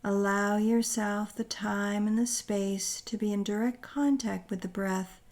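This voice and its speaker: Slow, mellow woman guiding meditation. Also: medium pitch, fairly even intonation. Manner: soothing, gentle, deliberate phrasing with pauses. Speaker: guided meditation narrator. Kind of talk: guided meditation, scripted narration.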